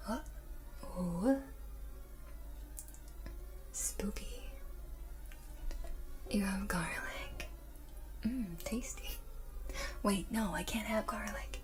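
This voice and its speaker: A woman streaming ASMR, chatting casually in a breathy whisper close to the microphone in an unhurried, drifting way. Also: mid-range voice, heavy background noise. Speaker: ASMR livestreamer chatting with viewers.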